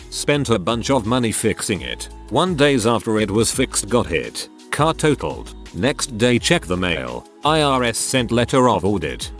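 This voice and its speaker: Low-voiced man reading stories aloud. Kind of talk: scripted narration of reader stories. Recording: faint music bed.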